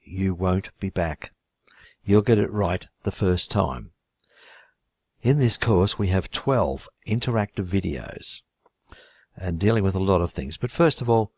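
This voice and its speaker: An older Australian man with a very deep, husky voice walks learners through his exam writing course. He sounds relaxed and conversational, and his speech is recorded close and dry. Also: no echo. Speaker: IELTS writing teacher. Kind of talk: course introduction to camera.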